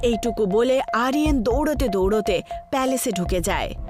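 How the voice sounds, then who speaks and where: High-pitched woman narrating horror stories. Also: expressive, moderate pace, music in the background. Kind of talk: scripted horror story narration.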